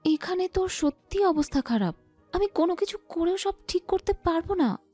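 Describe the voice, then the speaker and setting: High squeaky cheeky girl bestie, woman acting it, whiny and dramatic, audio story with music behind. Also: lively intonation, normal speed, a little mellow, no echo. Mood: worried. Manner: playful, exaggerated complaining.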